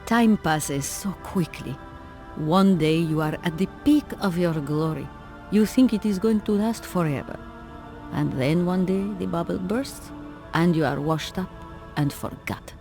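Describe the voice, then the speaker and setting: A woman's voice: lowish voice, a little slow, warm tone. An actress playing a melancholy old woman, a faded star, speaking mournfully and theatrically in a video game. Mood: gloomy. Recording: music under the voice. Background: music.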